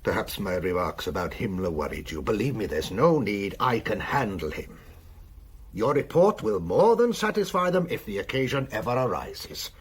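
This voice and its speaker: A cynical German admiral and spymaster in a radio play. His voice is very husky and breathy, with a lively, swooping delivery. He is worldly, wry and patronising. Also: fairly low pitch, normal speed. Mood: soothing, dismissive. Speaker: radio drama actor. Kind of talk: radio drama acting.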